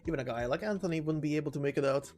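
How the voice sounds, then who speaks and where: A man's voice: on the high side, very fast, darkish tone, soft background music, lightly acted. A man voicing a chatty, folksy male prison convict who rambles in slangy talk in a video game story. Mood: gossipy, confiding.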